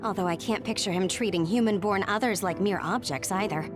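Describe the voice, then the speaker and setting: A woman playing a shrewd, level-headed soldier in an anime-style game dub, with a measured, analytical delivery. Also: mid-range voice, natural voice. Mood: cool reasoning. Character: shrewd, calculating, level-headed young soldier, coolly pragmatic. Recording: loud music behind.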